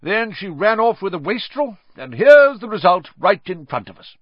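A man voicing a loud, drunk, bullying middle-aged woman who sneers with contempt. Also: high voice, very animated, normal speed, no echo, highs cut off, voice pushed up. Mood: sneering.

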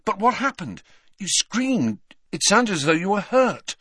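A man's voice: medium pitch, sing-song, a little fast, a bit bright, breathy edge, no echo, clean studio sound, voice pushed up. A man voicing an earnest, inquisitive teenage schoolboy in an audiobook, with an urgent, questioning manner. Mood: concerned, curious.

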